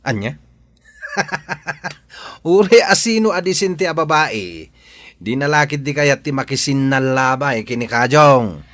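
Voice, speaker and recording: A man's voice: mid-range voice, expressive, measured pace, fairly bright tone, no echo. A man with a Filipino accent playing a mocking, skeptical grown son in an audio drama, full of incredulous questions. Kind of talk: Ilocano audio drama acting.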